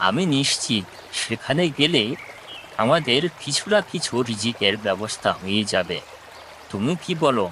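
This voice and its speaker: A man's voice: mid-range voice, normal speed, pushed and bright, a little hiss or hum, lightly acted. A man voicing an earnest, reassuring pigeon friend in a children's cartoon. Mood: earnest, persuasive.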